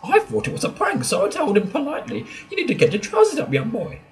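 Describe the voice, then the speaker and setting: A woman's voice: fairly high pitch, wildly swooping pitch, fast talker. Muriel, a woman playing a gossipy old English lady. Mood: gossiping. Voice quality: genteel, gossipy, put-on posh. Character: chatty elderly English lady, prim but gossipy. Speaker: sketch presenter. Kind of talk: comedy sketch.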